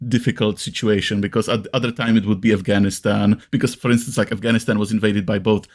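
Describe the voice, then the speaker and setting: A man's voice: lowish voice, little pitch movement, darkish tone. A man with a Czech accent talking casually as a podcast host, rambling and often restarting his sentences. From Czech Republic. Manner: halting, rambling, frequent self-restarts.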